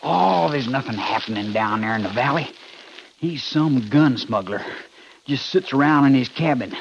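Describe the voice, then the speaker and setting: An actor playing an anxious, deferential American cavalry soldier in a 1950s radio western, with a husky voice. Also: male voice, medium pitch, animated, moderate pace, slight background noise. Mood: restless, uneasy. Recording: dull 1950s radio recording.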